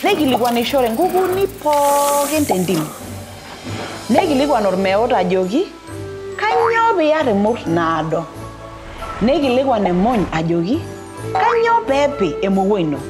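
A woman in her thirties with a Kenyan accent, a native Maasai speaker, reading and presenting a children's story in an expressive, deliberate way. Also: a little high, music in the background. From East Africa. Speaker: storyteller, host. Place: studio set, small furnished room with bookshelves, indoors.

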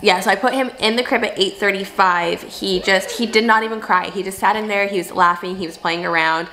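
A young woman, a stay-at-home mom, talking casually to camera in a home video diary, hoarse and rambling with many fillers. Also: mid-range voice, fairly quick, a crowd in the background, poor audio. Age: young adult. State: hoarse, losing her voice. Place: home, bedroom and kitchen.

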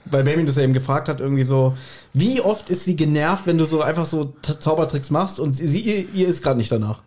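A man's voice: fairly high pitch, fast, darkish tone. A man hosting a casual podcast chat in a teasing, joking way. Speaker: podcast host.

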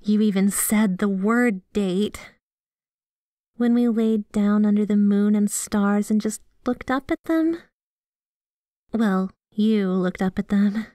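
A woman's voice: medium pitch, slow, mellow, close to an everyday voice. A sweet yandere girlfriend, acted by a woman for an ASMR roleplay, with a mid-range female voice. She talks affectionately and possessively, as if to her beloved. The sound is dry and free of room echo. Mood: tender, dreamy. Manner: affectionate, giggly, playfully possessive. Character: sweet, obsessively devoted, jealous yandere girlfriend. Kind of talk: ASMR roleplay, scripted one-sided conversation.

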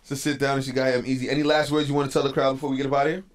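Brief: man, 30s, radio host, rapid slangy chat into a studio mic. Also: mid-range voice, little pitch movement. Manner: quick, upbeat, slangy questions. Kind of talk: casual interview. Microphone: studio mic on boom arm.

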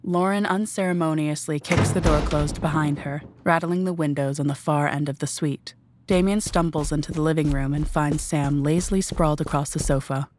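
A woman's voice: low-pitched, steady tone, normal speed, noisy background. A woman reading scripted narration for an audio drama.

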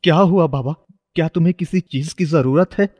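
A man's voice: on the high side, normal speed, a little mellow, big-room sound, natural voice. A man voicing a poor, kind-hearted young shoe-shine boy, earnest and pleading, in a children's moral story. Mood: curious, polite.

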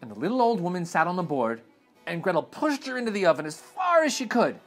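Animated, husky young man reading aloud. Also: sits high, fast, faint music behind. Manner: animated and expressive, dramatic swings in tone. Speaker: read-aloud host. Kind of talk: storybook read-aloud narration. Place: indoor living room with sofa.

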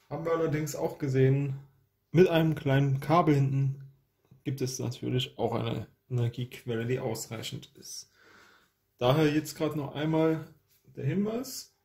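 A man's voice: medium pitch, vocal fry, darkish tone. A man hosting an unboxing, giving casual product review commentary.

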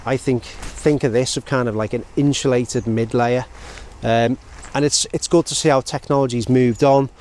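A man's voice: medium pitch, fairly even intonation, moderate pace, few pauses, darkish tone. British man, outdoors with background noise. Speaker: outdoor gear reviewer. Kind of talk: casual product review talk to camera. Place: outdoors in open countryside.

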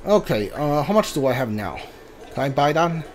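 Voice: medium pitch, big pitch swings, soft background music. A video game player giving casual, hesitant commentary on a playthrough, muttering and thinking aloud. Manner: hesitant, halting, muttering to self.

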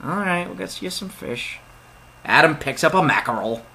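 A young man narrating a point-and-click game as he plays, his voice bright and lively, swinging up for jokey asides. He reads the on-screen text aloud in a casual, excitable way. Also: on the high side, moderate pace, gravelly, slight background noise. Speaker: let's play gamer. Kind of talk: casual let's play commentary.

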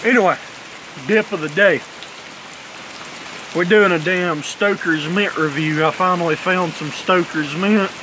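Guy in his 20s, kinda high voice, loose cussing drawl, phone on a kickstand out on the porch. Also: measured pace, long pauses, background noise. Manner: laid-back drawl, frequent cursing. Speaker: vlogger reviewing dip tobacco. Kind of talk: casual rambling vlog chat. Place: covered porch outdoors in heavy rain. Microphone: phone camera on kickstand. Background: rain falling.

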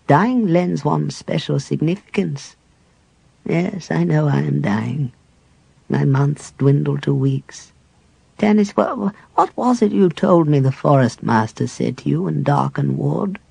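A man doing a frail, slow old cleric. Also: a little high, gravelly, slightly rough, no echo, full character voice, rough recording. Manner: slow, lilting and tender, with soft whispery breaks. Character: dying old male cleric, gentle, wise.

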